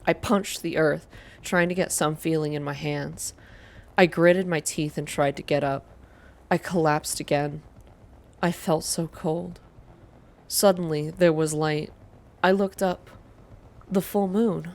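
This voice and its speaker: A woman reading first-person story narration aloud in a soft, hushed, measured ASMR style. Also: deep voice, steady tone. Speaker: narrator reading a visual novel game aloud.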